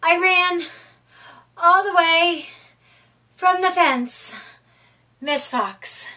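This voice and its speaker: A woman voicing a breathless, croaking young male squirrel pupil in a storybook. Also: very high-pitched, very slow, sharp tone, distant mic, voice pushed up, heavily acted.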